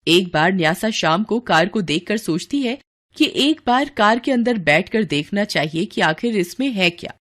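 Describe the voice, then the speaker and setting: A woman narrating a scripted children's horror story as an expressive storyteller. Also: mid-range voice, moderate pace. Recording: loud music under the voice.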